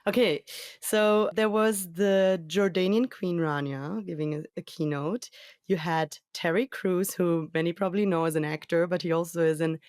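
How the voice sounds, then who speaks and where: Woman correspondent, lively and conversational, slightly low voice, dry studio mic. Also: moderate pace, no room sound. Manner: chatty, lively, starts lines with "so". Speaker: reporter. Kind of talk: conversational field report to a host.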